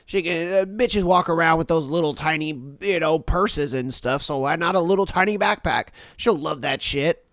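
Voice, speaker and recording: A man's voice: a little high, normal speed, hardly any pauses, dry recording, studio quality. Congested man hosting a casual podcast. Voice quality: slightly nasal. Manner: animated, slangy reactions with frequent laughs. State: congested from a cold. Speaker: podcast host. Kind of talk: casual commentary reading listener posts.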